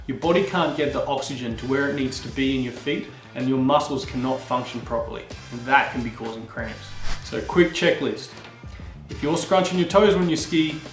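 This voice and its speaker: A man in his thirties hosting a ski gear tutorial, talking straight to camera in a casual, energetic way. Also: mid-range voice. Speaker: host. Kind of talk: upbeat instructional vlog. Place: small indoor room with skis on wall. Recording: roomy echo with faint music.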